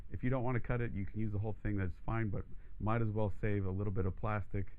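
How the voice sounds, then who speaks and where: A male voice teacher talks through an exercise in a calm, steady way, his voice a little croaky. His voice sits fairly low, and the sound is thin and tinny, like a phone line. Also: fast, a little hiss or hum. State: croaky from allergies. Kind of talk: instructional demonstration.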